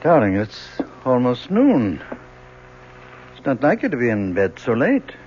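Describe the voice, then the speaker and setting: A muffled vintage radio drama with faint noise carries an older professor and father. He is worried and exasperated, his pitch rising and falling freely as he pleads with a grown daughter. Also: wildly swooping pitch, unhurried, a little mellow. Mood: concerned, gentle.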